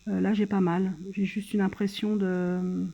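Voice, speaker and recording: A French woman speaking casually and hesitantly, as in a personal video diary, pausing and trailing off between phrases. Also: mid-range voice, little pitch movement, creaky, mellow, muffled recording. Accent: French, from France. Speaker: vlogger documenting own surgery stay. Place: hospital room.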